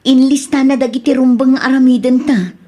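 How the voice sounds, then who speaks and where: A worried Ilocano wife speaks in a high-pitched voice. She is insistent, pressing with questions in radio comedy drama style. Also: fairly even intonation, normal speed, dark tone. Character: worried, insistent wife who confronts her evasive friend.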